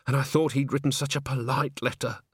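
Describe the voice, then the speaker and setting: A man's voice: mid-range voice, steady tone, fairly quick, husky, dry recording, studio quality. A man voicing a fretful, indignant theatre owner in an audiobook, stammering and wailing with exasperation. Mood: dismayed.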